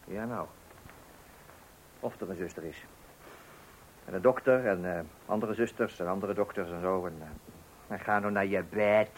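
A man's voice: sits low, steady tone, normal speed, long pauses, a little hiss or hum, close to an everyday voice, low-quality recording. An actor in a radio play playing a flustered, weary father, hesitant, stammering and exasperated. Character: flustered, weary father awaiting a birth at night.

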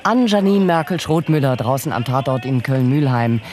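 Earnest newscaster man, mid-pitched, grave and formal radio drama bulletin with light music behind. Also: animated, normal speed, darkish tone, close to an everyday voice. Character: earnest male news anchor reporting an attack.

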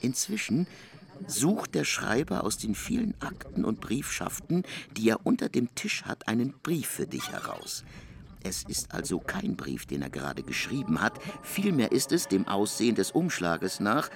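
An actor playing a literary narrator in a radio play, relaying someone's account in a deliberate, expressive storytelling manner. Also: male voice, on the low side, vocal fry, full character voice. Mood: intent, storytelling.

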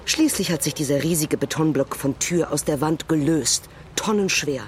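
A talkative male witness played by an actor in a radio drama explains himself at length in a conversational way. His voice sits fairly high, with clear ambient noise around it. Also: normal speed, close to an everyday voice. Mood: explanatory. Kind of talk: German audio drama dialogue.

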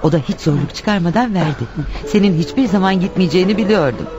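An actress playing the anxious, devoted wife of a fugitive in a radio play, speaking with earnest emotion. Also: female voice, fairly low pitch, fast, muffled recording, lightly acted. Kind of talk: radio theatre acting. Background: music underneath.

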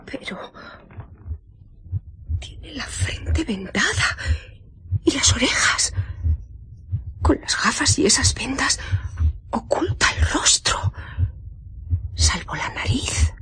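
An actress playing a nosy, chatty, easily flustered village innkeeper in a radio drama, muttering exclamatory asides to herself. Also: female voice, high voice, animated, takes it slowly, long pauses, a bit bright, slightly rough, whispered, heavily acted. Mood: awed, alarmed.

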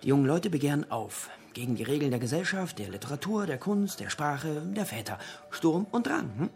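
An actor playing a talkative literature buff in a radio drama, lecturing theatrically in pithy, punchy fragments. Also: male voice, fairly high pitch, sing-song, fairly quick, creaky, darkish tone. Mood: relishing, theatrical. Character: talkative literature buff holding forth in a pub.

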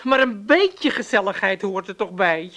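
An actress playing a neglected Amsterdam wife in a radio play, plaintive and sulky. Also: female voice, mid-range voice, lively intonation, normal speed, a bit bright, close to an everyday voice.

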